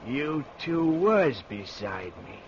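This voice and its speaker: A man's voice: a little high, sing-song, slow pace. An actor playing a vengeful drowned man back from the river, with a menacing rural drawl, in vintage radio horror style. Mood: accusing, eerie. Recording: muffled, noisy old radio recording.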